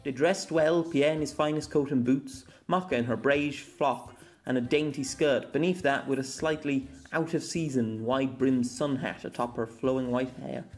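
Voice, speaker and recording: A man reading scripted fiction as an amateur audiobook narrator, expressive and dramatic. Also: medium pitch, normal speed, hardly any pauses, faint music behind.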